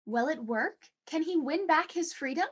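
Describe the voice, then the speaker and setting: An actress playing a naive, jittery co-host in theatrical 1940s radio comedy style. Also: female voice, sits high, expressive, normal speed. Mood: nervous, suspenseful.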